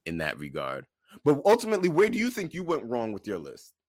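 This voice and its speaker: A man co-hosting a podcast in casual discussion, making his points deliberately and repeating them for emphasis. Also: mid-range voice, huge pitch swings, a little mellow, no room sound, clean studio sound. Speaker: podcast co-host.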